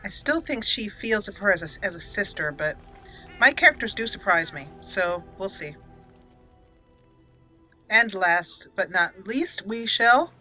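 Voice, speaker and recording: Woman, mid-pitched bright voice, casual gaming commentary, chatty, playful, soft music behind. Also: animated, measured pace, low-quality recording. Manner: playful, chatty, talks to herself and the game. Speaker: gaming video host. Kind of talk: casual let's play commentary.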